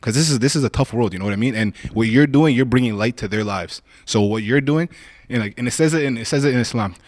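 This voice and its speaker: A man hosts a casual podcast chat at an everyday mid-range pitch. He speaks in a laid-back, friendly-casual way and leans on fillers like "you know" and "like". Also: fast, no echo. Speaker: podcast host.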